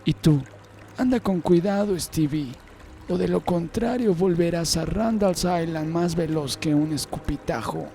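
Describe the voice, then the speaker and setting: A man's voice: on the high side, unhurried, dark tone, a little hiss or hum, voice pushed up. A man voicing a tall, menacing, condescending Irish police sergeant in an audiobook, with music behind him. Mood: threatening. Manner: condescending, bullying.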